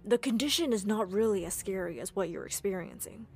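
A woman voicing a patient male doctor who explains calmly. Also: mid-range voice, a little fast, creaky, slightly rough, soft background music. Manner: calm, explanatory.

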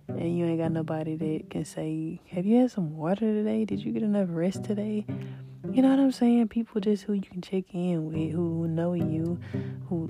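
Female podcast host, low gravelly voice, casual and encouraging, guitar bed. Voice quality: deep voice. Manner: rambling, faith-filled, soft laughs. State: recovering voice after illness. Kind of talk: casual spiritual podcast chat.

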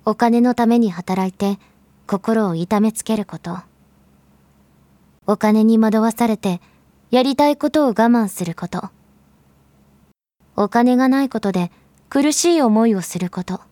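A woman plays an enigmatic, thoughtful female figure delivering a drama monologue. Her voice is soft and mellow yet fairly high. She speaks straight to the listener with measured earnestness, in a dry, close recording. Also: no echo, close to an everyday voice. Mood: sympathetic, pensive. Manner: measured, earnest, intimate direct address. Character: mysterious, pensive female narrator appealing to the listener. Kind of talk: Japanese audio drama monologue.

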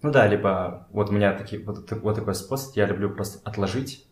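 A man talking casually as a podcast guest, telling things in a halting, slangy way and often repeating words. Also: fairly low pitch. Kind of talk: casual conversational storytelling. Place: in a room at a home. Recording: echoey room.

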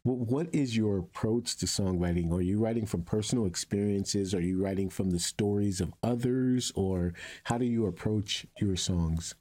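A man's voice: lowish voice, moderate pace, hardly any pauses, warm tone. An older man interviewing a guest in a casual, conversational style, wordy and effusive as he builds up his questions.

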